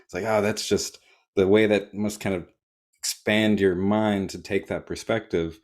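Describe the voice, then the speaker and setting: Deep-voiced, easygoing male interviewer. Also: a little slow. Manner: relaxed, encouraging, frequent short acknowledgements. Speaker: podcast host interviewer. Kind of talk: casual interview talk.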